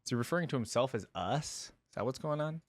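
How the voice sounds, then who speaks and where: A man's voice: mid-range voice, lively intonation, quick pace, faint music behind. A man hosting a reaction video, giving casual, off-the-cuff commentary.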